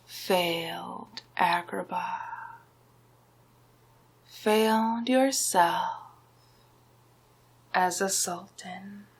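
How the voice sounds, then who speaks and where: Female performer for male sorcerer-vizier villain, ASMR roleplay: breathy half-whisper, very drawn-out delivery, gloating, hypnotic, domineering. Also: lowish voice, exaggerated voice. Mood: smug, gloating. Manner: slow, deliberate, gloating, hypnotic.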